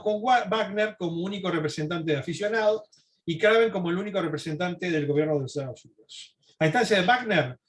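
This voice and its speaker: A man lecturing informally from notes, explaining as he goes and adding asides and rhetorical questions. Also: high-pitched.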